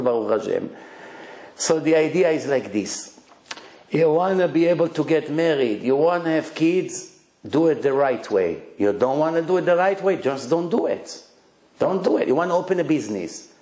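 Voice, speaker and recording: A man's voice: a little high, a little mellow, echoey room. A man with an Israeli accent, Hebrew his first language, giving a blunt, emphatic religious lecture in short punchy phrases and rhetorical questions. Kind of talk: lively religious lecture to an audience.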